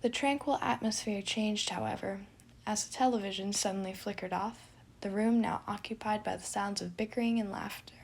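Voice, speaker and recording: Casting: female storyteller for scripted fiction narration, expressive, with a creaky, husky voice. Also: medium pitch, moderate pace.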